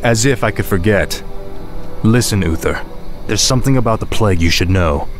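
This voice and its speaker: An actor playing a proud, defiant young prince in a fantasy video game, forceful, resolute and imperious. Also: male voice, lowish voice, lively intonation, normal speed, music in the background, close to an everyday voice. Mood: grim resolve.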